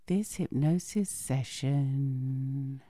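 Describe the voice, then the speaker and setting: A woman hypnotherapist leads a sleep session in a low, breathy half-whisper. Her delivery is slow and lulling, in a soft ASMR style meant to soothe. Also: dry recording, clean studio sound. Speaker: hypnotherapist, channel host.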